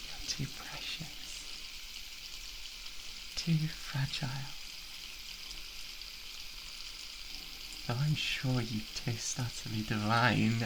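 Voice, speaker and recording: A man with a British accent playing an obsessive, unhinged boyfriend, doting and menacing, in a halting, intimate ASMR roleplay. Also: medium pitch, vocal fry, noisy background. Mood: softly menacing. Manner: halting, giggly, theatrically coaxing.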